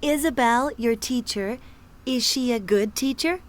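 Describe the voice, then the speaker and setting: A woman speaking lines of a scripted classroom dialogue in a clear, teacherly way. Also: a little high, a little slow. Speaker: voice in a language course dialogue.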